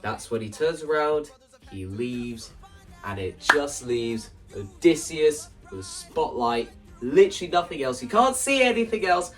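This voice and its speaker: Man, casual video creator, animated explaining, slightly distant mic, music in the background. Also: on the high side, measured pace. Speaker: video creator explaining stage play ideas. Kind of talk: casual spoken walkthrough to camera.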